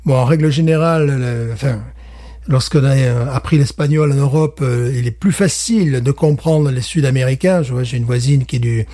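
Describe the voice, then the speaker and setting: Animated French man, mellow tone. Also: medium pitch, normal speed. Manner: animated, many restarts, acts out little scenes. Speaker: podcast guest, teacher. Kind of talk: casual chat.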